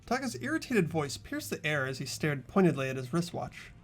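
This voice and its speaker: A woman's voice: sits low, very animated, fairly quick, faint music behind. A woman narrating a game playthrough in the first person, like a story. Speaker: narrator and player.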